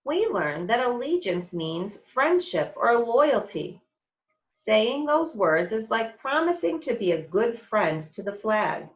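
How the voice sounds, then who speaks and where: American lady teacher doing a kids read aloud, slow and expressive, kinda echoey room. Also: a little high, highs cut off. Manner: expressive, deliberate, explanatory. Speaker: teacher reading aloud to second graders. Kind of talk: read-aloud of a children's picture book.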